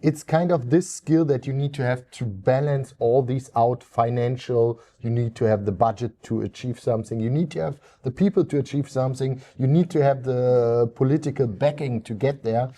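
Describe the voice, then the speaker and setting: A man with a very dark, mellow voice at an ordinary middle pitch. He talks through his points as lists on a tech panel and keeps dropping in a filler "yeah". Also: moderate pace, hardly any pauses. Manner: explains in lists, often adds a filler "yeah". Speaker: panel guest. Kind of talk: casual panel discussion.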